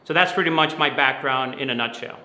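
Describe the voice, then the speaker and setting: Bright, forceful man in an echoey room. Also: mid-range voice, a little fast. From Dominican Republic, moved to New York City at eleven. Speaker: guest, finance mentoring company founder. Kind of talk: conversational interview answers. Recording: roomy, echoey sound.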